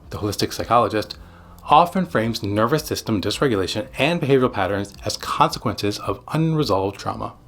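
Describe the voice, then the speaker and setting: A man giving scripted educational narration as a psychiatrist, speaking in short, deliberate, emphatic phrases. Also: mid-range voice, gravelly. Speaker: psychiatrist presenting an explainer video.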